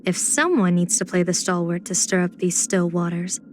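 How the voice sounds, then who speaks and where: A woman's voice: fairly low pitch, moderate pace, a little hiss or hum, natural voice. A woman voicing a playful, confident general and diviner in a video game, with poised, theatrical, teasing phrasing. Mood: sly, assured. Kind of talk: video game character voice lines.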